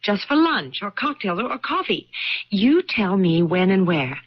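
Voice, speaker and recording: Anxious, animated young wife, muffled radio. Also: female voice, medium pitch, unhurried. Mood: earnest, insistent. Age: young adult. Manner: earnest, pleading. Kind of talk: vintage radio drama acting. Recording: muffled vintage radio recording.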